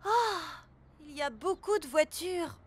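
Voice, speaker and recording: A woman voicing a cheerful, hurried female character in a children's cartoon, with exclamatory, expressive delivery. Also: high voice, a little hiss or hum. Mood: flustered, determined. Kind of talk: children's animated series dub. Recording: music behind the voice.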